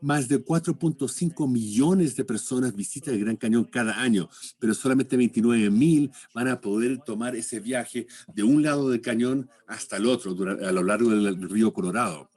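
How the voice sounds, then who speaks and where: Husky-voiced man, halting lecture delivery. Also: medium pitch, a little mellow. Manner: halting, searching for words and rewording.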